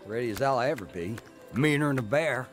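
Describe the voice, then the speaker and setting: A man's voice: medium pitch, lively intonation, a little fast, faint music behind, close to an everyday voice. An actor playing a tough career criminal telling his life story in a folksy, plain-spoken way for a radio drama. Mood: cocky, guarded. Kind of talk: radio drama acting and first-person narration.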